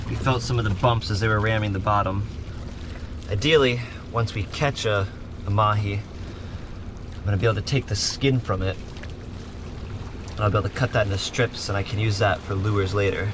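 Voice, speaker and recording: A man's voice: on the low side, steady tone, fairly quick, pauses often. A man improvising as a castaway in a life raft survival dramatization, talking out on the water. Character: weary male castaway adrift in a life raft. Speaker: amateur actor in a survival dramatization. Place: small life raft out at sea. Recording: loud boat and water noise.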